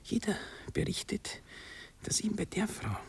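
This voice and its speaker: An actor playing a nervous, sensitive young gentleman in love in a 1960s German radio drama, halting, stammering and self-pitying. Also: high voice, very animated, slight background noise, heavily acted. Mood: awkward, explaining.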